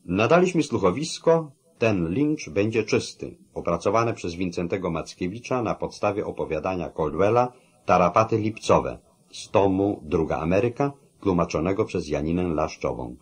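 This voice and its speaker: Low, husky male radio announcer. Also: animated, moderate pace. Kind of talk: formal reading of radio drama credits.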